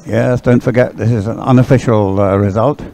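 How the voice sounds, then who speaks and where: A man giving conversational live sports commentary in a hesitant, halting way, often repeating his words. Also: fairly low pitch, fairly even intonation, gravelly, dark tone. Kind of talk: live dragon boat race commentary, conversational. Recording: live sports coverage, crowd in background.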